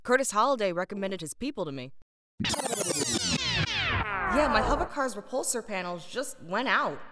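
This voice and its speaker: A woman acting as a sardonic young roommate in a comedy audio play, mid-pitched and very animated. She sounds exasperated, with faint music behind her. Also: moderate pace, natural voice. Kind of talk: sitcom audio drama acting.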